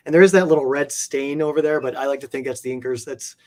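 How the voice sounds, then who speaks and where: A man with a medium-pitched voice talks as a guest in a casual interview. He sounds like a keen collector, conversational and enthusiastic, and he rambles a little as he goes. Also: quick pace, a little mellow. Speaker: guest, comic art collector.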